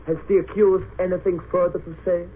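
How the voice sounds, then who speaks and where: An actor playing a stern, curt German military prosecutor with clipped, imperious questioning in an old radio drama. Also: male voice, high-pitched, little pitch movement, fairly quick, natural voice. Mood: cold, imperious. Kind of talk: 1930s-40s radio drama acting. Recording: noisy narrow-band old radio recording.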